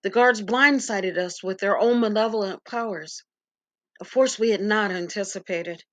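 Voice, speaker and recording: A woman narrates a sci-fi audio story in the first person. Her delivery is theatrical and full of suspense, with a medium-pitched voice. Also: normal speed.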